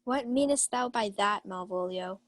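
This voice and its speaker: A woman's voice: mid-range voice, moderate pace, no room sound, lightly acted. A woman playing a proud, lovestruck young lady of the house in a Shakespeare radio play, formal and declamatory. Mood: puzzled, commanding. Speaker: student actor.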